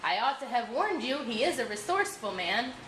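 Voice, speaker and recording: A woman's voice: a little high, sing-song, brisk. A woman voicing a small, clever fish in a polite, coaxing, advising way for a puppet show story. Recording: roomy, noisy school room recording.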